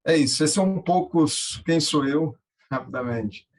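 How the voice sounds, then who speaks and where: A man, answering interview questions conversationally and haltingly, often repeating words. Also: fairly high pitch. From Minas Gerais, Brazil. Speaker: podcast guest, tech founder and angel investor.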